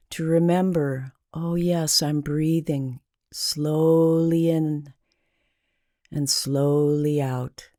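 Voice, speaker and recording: Slow, low, mellow woman guiding meditation. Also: dry recording, clean studio sound. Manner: slow, calming, deliberate with long pauses. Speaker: meditation guide. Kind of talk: guided meditation narration.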